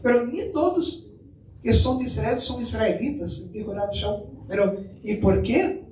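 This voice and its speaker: A man's voice: sits high, lively intonation, a little slow. A man from Brazil preaching a sermon, mixing Portuguese with Spanish and putting questions to the congregation. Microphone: far from the mic. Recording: echoey room, mic far off, faint noise.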